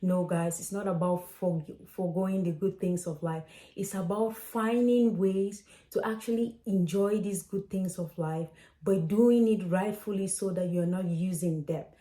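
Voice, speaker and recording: A woman's voice: fairly low pitch, steady tone, a little slow, mellow, reverberant. A woman in her late twenties from Cameroon, hosting a casual advice vlog and talking straight to viewers in a chatty, direct way. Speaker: financial coach and host.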